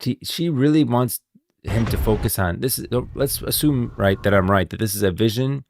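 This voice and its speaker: A man's voice: medium pitch, moderate pace, darkish tone, faint music behind. A man hosting a stream of game lore commentary, moving between casual talk and scripted reading. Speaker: streamer, host.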